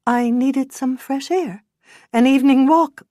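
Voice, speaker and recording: A woman voicing an evasive young woman in a hospital bed, pleading in a high, whiny voice. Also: measured pace, darkish tone, dry recording, clean studio sound.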